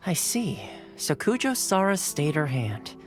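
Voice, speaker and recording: A cheerful young male retainer speaks politely and easily, with a very lively, swinging pitch. It is anime-style game acting, with faint music underneath. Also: a little slow. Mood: thoughtful.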